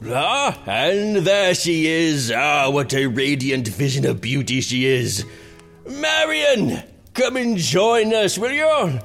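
A man's voice: fairly high pitch, animated, slow pace, creaky, a bit bright, soft background music, full character voice. An actor playing a pompous, drunken, self-indulgent prince acting as king in a theatrical, haughty medieval audio drama. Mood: fawning, flustered.

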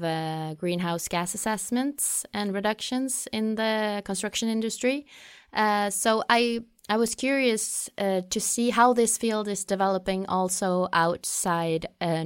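Smooth-voiced Norwegian woman host. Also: mid-range voice, dry recording, clean studio sound. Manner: hesitant, many uh fillers in English. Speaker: podcast host. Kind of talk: podcast interview.

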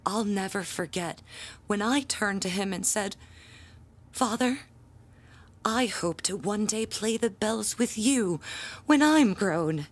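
An actress playing a proud, pompous handbell ringer, telling family lore in grandiose audio drama style. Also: female voice, mid-range voice, expressive, slow pace, long pauses. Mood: wounded, dramatic. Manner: grandiose, theatrical storytelling.

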